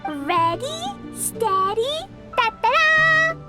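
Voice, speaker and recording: A woman's voice: very high voice, sing-song, extremely slow, over loud music, heavily acted. A woman voicing an innocent, impulsive little girl in a video game, with an eager, bouncy, childlike way of talking. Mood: excited. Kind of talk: English video game voice acting.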